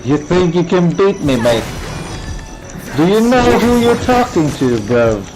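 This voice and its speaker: A man's voice: sits high, big pitch swings, unhurried, rough recording. A man giving casual, slangy gameplay commentary as a streamer, with a cocky manner. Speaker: game streamer. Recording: game music behind the voice.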